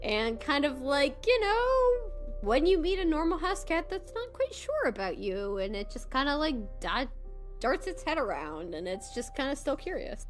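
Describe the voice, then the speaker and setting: Female player, higher voice, informal and playful game chat over clearly audible music. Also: very animated, moderate pace. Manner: playful, describes actions informally. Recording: music bed behind the voice.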